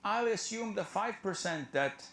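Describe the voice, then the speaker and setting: A man giving an earnest religious lecture, explaining in a steady, lecturing way. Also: high-pitched, a little slow, creaky, reverberant, a crowd in the background. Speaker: preacher.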